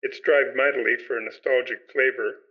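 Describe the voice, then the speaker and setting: A man's voice: mid-range voice, steady tone, brisk, slightly rough. A man hosting a podcast, reading scripted narration aloud. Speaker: podcast host. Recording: slightly dull, muffled sound.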